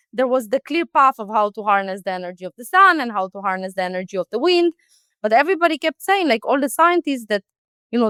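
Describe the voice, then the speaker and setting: Woman, 30s, higher register, clear dry tone; loose, rambling podcast guest. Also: fairly bright tone, no room sound. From Israel. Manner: chatty, many fillers and restarts. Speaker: guest, company founder. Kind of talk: casual interview answers.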